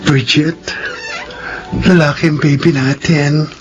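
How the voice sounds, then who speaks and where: A woman playing a devoted, excitable partner and parent-to-be in a melodramatic Filipino radio drama, with an emotional delivery. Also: low voice, slow pace, faint music behind. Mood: loving.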